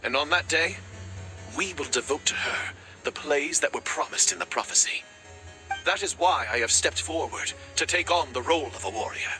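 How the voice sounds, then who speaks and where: A man's voice: fairly high pitch, animated, normal speed, lightly acted, poor audio. A man voicing a devoted, reckless raven warrior in a video game, speaking with grand, earnest drama. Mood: fervent, earnest. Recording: background music under the voice.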